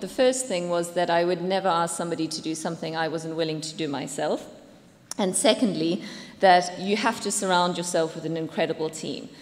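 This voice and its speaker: A South African woman in her late thirties giving an upbeat, earnest talk at a business conference. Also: fairly low pitch. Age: late 30s. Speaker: conference speaker, company executive. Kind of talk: presentation to an audience. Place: conference hall. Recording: long reverb of a conference hall.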